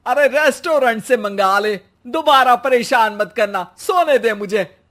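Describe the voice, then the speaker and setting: A middle-aged cartoon mother-in-law, acted by a woman, lazy and irritable. She grumbles sarcastically at an unhurried pace, always easily riled. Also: a little high, expressive, fairly bright tone. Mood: irritated.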